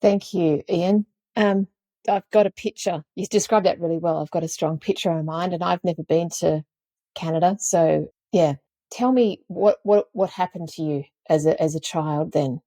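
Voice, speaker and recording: Earnest low-pitched female podcast host. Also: moderate pace, dark tone, no echo. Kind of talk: podcast hosting and interviewing.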